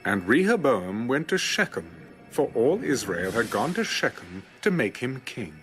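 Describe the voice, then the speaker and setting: A man gives a solemn, dramatic scripture narration. His mid-pitched voice moves expressively with measured storytelling, and clear music plays behind. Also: sing-song, fairly bright tone. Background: background music.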